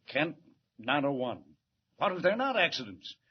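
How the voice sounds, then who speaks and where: An actor playing an anxious, gruff middle-aged male police commissioner in 1940s radio acting. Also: on the high side, sing-song, normal speed. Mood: worried. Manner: gruff, worried, urgent. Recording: muffled vintage radio broadcast.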